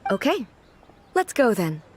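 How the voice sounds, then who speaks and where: A woman voicing a composed, regal ruler in a video game, formal and measured, with an earnest, literal-minded curiosity. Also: mid-range voice, big pitch swings, slight background noise. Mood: puzzled, questioning.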